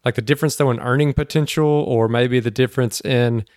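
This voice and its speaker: Fast, rambling male podcast host. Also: medium pitch, steady tone, darkish tone, no room sound, studio quality. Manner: rambling, hedging questions full of "like" and "you know". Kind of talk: casual interview questions.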